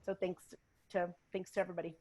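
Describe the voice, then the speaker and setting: A woman's voice: fairly low pitch, little pitch movement, fast talker. A woman from South Texas who is a community relations manager, introducing herself earnestly on her first panel. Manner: warm-hearted, earnest and a little nervous. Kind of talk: casual self-introduction on a panel.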